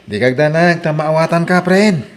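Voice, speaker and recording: A man's voice: fairly high pitch, normal speed, a little hiss or hum, close to an everyday voice. A man acting a chatty, teasing but helpful friend in an Ilocano drama, breezy and gossipy, with an Ilocano accent. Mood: cheerful, caring.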